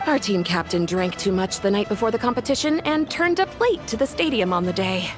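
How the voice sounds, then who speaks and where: A woman's voice: medium pitch, expressive, fairly quick, lightly acted. An actress playing a friendly young tribeswoman in a video game. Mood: sheepish. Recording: loud music behind the voice.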